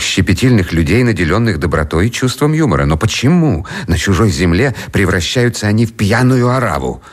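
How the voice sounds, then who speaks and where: A grave, honorable officer who has lost his illusions. The voice is very deep, with an earnest, measured, rhetorical delivery suited to radio drama. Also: breathy edge, exaggerated voice. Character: disillusioned, principled military officer, grave and honorable. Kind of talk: radio play acting.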